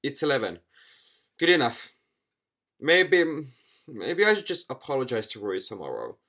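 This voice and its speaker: A man's voice: mid-range voice, very animated, normal speed, bright, forceful tone, muffled recording. A young man hosting a gaming video, reading visual novel narration aloud with asides and stumbling over repeated words. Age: young adult. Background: music.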